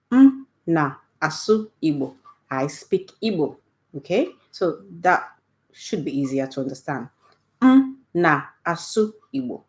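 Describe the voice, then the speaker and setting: A woman's voice: fairly low pitch, darkish tone. A middle-aged woman with a Nigerian accent, giving a casual, patient online language lesson and checking in with "okay?" after each point. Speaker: Igbo language teacher.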